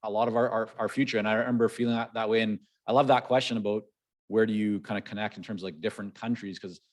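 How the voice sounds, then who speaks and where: Low-voiced Canadian-raised male podcast host. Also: quick pace. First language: Hebrew. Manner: relaxed, repeats words while thinking. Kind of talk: conversational interview.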